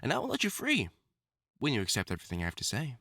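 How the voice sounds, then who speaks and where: A man's voice: medium pitch, wildly swooping pitch, bright, forceful tone, no room sound, clean studio sound, heavily acted. A man playing a theatrical male wolf-mutant supervillain in love, teasing and wounded, in a scripted audio roleplay spoken to the listener. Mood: teasing, menacing. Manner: theatrical, taunting, dramatic swoops and pauses.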